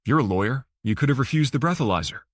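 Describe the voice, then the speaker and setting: Man playing a wry, blunt ex-lawyer, mid-pitched. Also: lively intonation, fast, no echo, studio quality, close to an everyday voice. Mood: direct. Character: Vance Courage, wry, blunt male ex-lawyer.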